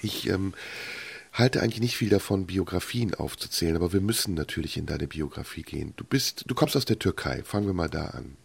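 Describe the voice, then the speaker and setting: Gravelly low-voiced male interviewer. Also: expressive, fairly quick. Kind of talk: probing interview questions.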